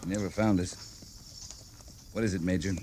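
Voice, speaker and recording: An actor playing a calm, authoritative American cavalry captain in an old-time radio western, speaking in measured, terse soldierly lines. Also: male voice, deep voice, close to an everyday voice. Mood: grim, measured. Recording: noisy old-time radio drama recording.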